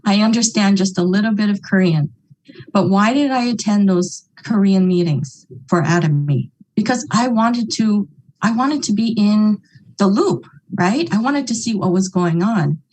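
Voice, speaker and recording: A woman from Hawaii talks earnestly to her network-marketing audience, chatty and talkative, with many asides. Her voice sits in a middle pitch, and she often checks in with the listeners with little tags. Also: lively intonation, normal speed, a little mellow, rough recording. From Hawaii, United States. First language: English. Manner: chatty, earnest, repeats points with "okay?" and "right?". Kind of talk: motivational talk.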